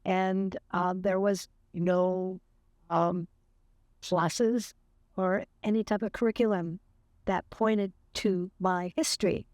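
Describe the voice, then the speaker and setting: A woman's voice: fairly low pitch, little pitch movement, darkish tone, no room sound, studio quality. A woman in her seventies from California, earnestly recalling her upbringing in an interview, with many fillers. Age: 70s. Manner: slow, earnest, with fillers and light laughs. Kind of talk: reflective interview.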